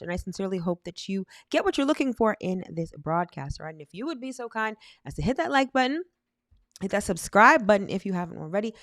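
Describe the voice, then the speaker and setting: A woman's voice: medium pitch, no room sound, studio quality. A woman hosting a live astrology stream, sounding nasal from a cold and talking chattily to her viewers. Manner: chatty, addresses viewers as "my loves", ends points with "all right?". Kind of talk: upbeat live-stream talk.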